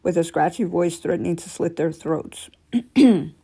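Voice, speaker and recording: Female storyteller, scratchy and dark-toned, reading real-life creepy tales with offhand asides. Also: fairly low pitch, a little slow, husky. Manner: stumbles and corrects herself while reading. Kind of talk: reading aloud with casual asides.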